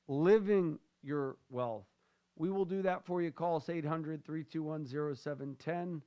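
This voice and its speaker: Joking male radio show host. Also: sits high. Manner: loose, rambling, joking with co-hosts. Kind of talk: casual on-air banter and show promos.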